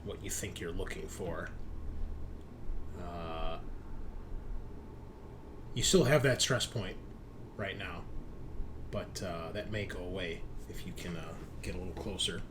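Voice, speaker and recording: A man's voice: deep voice, slow, pauses often, noisy background. A man hosting and game mastering a tabletop roleplaying session in an informal, casual way.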